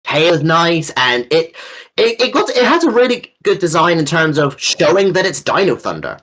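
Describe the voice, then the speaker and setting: A man co-hosting a fan countdown in casual geeky chat, jokey and giggly, with halting phrasing. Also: on the high side, a bit bright, low-quality recording. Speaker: co-host of a fan countdown video.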